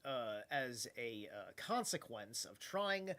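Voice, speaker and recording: A man's voice: medium pitch, very animated, takes it slowly, bright, forceful tone. A tired man with a stuffed-up nose, rambling loosely in casual late-night stream chat and swearing now and then. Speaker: live streamer.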